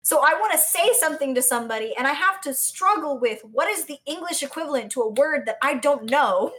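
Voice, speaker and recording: Bright-voiced American woman chatting. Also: high-pitched, animated, brisk. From South Florida, United States. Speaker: podcast co-host. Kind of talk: casual conversational chat.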